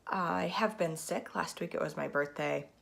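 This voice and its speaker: A woman's voice: low voice, moderate pace, gravelly, a bit bright. A woman in her thirties hosting a casual knitting podcast from home, stuffed up with a cold and chatting in a rambling way with plenty of ums. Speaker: podcast host. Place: at home, seated in a spinning chair in front of a camera. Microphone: camera mic.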